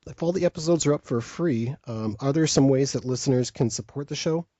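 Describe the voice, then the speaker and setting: Mellow male interviewer, dry sound. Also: medium pitch, brisk, no echo. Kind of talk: casual interview questions. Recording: dull, dry lo-fi audio.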